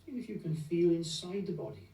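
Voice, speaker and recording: A man leading a guided meditation in a gentle, unhurried, soothing manner, leaving long pauses between phrases. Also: a little high. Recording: roomy echo, distant from the mic.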